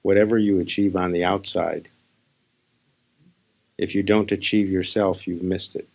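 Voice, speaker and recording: Deep, gravelly man guiding a meditation. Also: little pitch movement, darkish tone. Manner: unhurried, pausing between short phrases. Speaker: spiritual teacher. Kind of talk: guided meditation talk. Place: live session.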